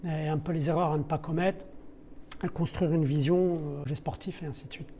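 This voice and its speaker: A man's voice: on the high side, fairly even intonation, fairly quick, mellow, a little hiss or hum. A middle-aged man, not a native French speaker by birth, explaining his work in an interview, searching for his words and repeating them. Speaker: deputy sporting director of a football club. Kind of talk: podcast interview, spontaneous explanation.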